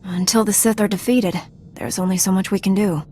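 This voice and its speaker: A calm, earnest Jedi knight heroine from a video game, played by a woman. She speaks sincerely and with measure in a medium-pitched voice, with faint ambient noise behind. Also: fairly quick, close to an everyday voice. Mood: firm, principled. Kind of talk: video game character acting.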